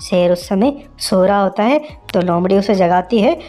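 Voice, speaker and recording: A woman's voice: medium pitch, lively intonation, moderate pace, dark tone, faint music behind. A woman narrating a children's moral story in a simple, conversational way.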